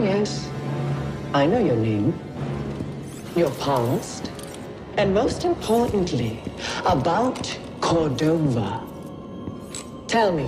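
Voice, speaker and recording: A woman playing a cold, mocking Imperial inquisitor in a video game, taunting her opponent with deliberate phrasing. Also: low voice, heavily acted. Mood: mocking, menacing. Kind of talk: dramatic video game acting. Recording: loud music behind the voice.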